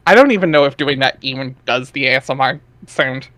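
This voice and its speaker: A man's voice: medium pitch, a little fast, fairly bright tone, dry recording. A man narrating a comic read-aloud in a casual way, chatting between lines, on a headset mic. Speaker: narrator of a webcomic dramatic reading.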